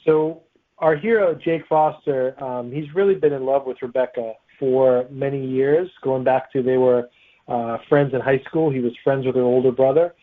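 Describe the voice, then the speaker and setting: A man talks casually as a guest author in an interview. His voice is medium in pitch and sounds narrow and tinny, as if coming down a phone line. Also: steady tone, moderate pace.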